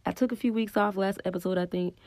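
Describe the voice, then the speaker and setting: A woman's voice: medium pitch, fairly even intonation, fast talker, a little mellow, dry recording. A woman hosting her own podcast, talking through a cold with a stuffy nose and scratchy throat, earnest and encouraging as she thinks aloud with plenty of ums. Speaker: podcast host. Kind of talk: casual solo podcast monologue on spiritual growth.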